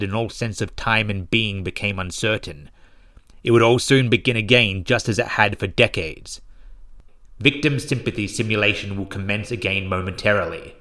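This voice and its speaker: A man narrating a scripted horror story in a grave, measured, ominous and dramatic manner. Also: on the low side, pushed and bright, no echo, studio quality. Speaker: narrator and author of horror short stories.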